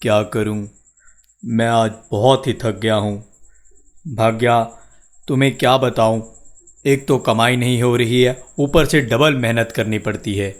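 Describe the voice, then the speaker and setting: A man's voice: mid-range voice, fairly even intonation, unhurried, close to an everyday voice. Man playing earnest, weary village labourer. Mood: weary, troubled. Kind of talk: Hindi cartoon story dialogue.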